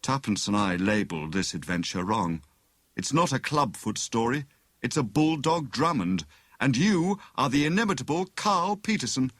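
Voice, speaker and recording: Man acting a breezy, flippant captive adventurer, lively pitch. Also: no echo. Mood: mock-sad teasing. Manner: breezy, unhurried banter. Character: cheerful, flippant young male adventurer held captive. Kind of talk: dramatised audiobook dialogue.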